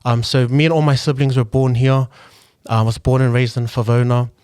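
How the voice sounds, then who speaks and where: A young man from New Zealand speaks in a mid-pitched voice, thinking aloud in an earnest, reflective way with plenty of "um"s and restarts. The sound is very dry and close, with no room echo at all. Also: level tone, brisk, a little mellow, smooth. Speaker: guest, local politician and engineer. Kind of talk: casual podcast conversation.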